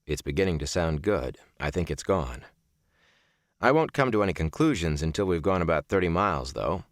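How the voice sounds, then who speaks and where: Low-voiced male audiobook narrator, close and dry. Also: no echo. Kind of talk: scripted first-person audiobook narration.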